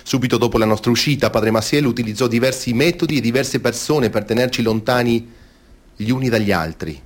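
An actor playing a grave former seminarian giving wounded testimony in a solemn, measured, theatrical monologue, in speech. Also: male voice, medium pitch, close to an everyday voice. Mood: solemn, resolute.

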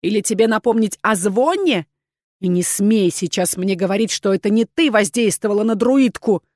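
A woman's voice: mid-range voice, animated, moderate pace, a little mellow, no echo, natural voice. A merciless male duke and rector from a fantasy audiobook, voiced by a female narrator. He speaks with cold scorn and stern command, like an interrogator, in a close, dry recording.

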